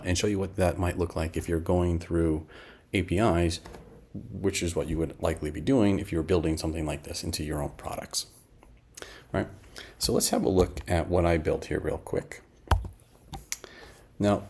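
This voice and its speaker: Man, 50s, very deep and slightly rough-voiced, informal and explanatory tech demo presenter. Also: a little mellow. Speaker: app developer presenting a demo. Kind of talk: casual screen demo narration.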